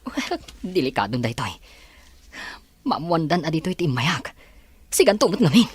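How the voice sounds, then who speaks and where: An actor playing an anxious young husband in an audio drama, lively and pleading. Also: male voice, fairly high pitch, moderate pace, breathy edge, no echo. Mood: defensive. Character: young husband, son of a rich family, anxious. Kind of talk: Ilocano audio drama acting.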